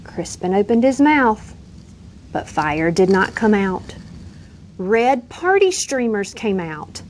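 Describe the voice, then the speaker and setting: Unhurried woman reading a bedtime story. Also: mid-range voice, slight background noise. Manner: expressive storytelling, gentle and unhurried. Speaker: reader. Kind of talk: children's bedtime story read-aloud.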